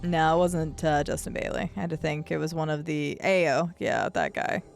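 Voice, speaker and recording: A woman livestreaming a video game, chatting casually and swearing playfully as she reacts out loud. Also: low-pitched, normal speed, creaky. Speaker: game streamer. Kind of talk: casual livestream gaming chat and commentary. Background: video game music.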